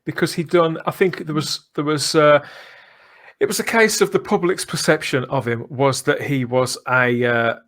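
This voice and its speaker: A man's voice: on the high side, fairly even intonation, moderate pace, fairly bright tone. A man hosting a podcast, talking in a conversational, discursive way and often ending on tag questions. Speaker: podcast host.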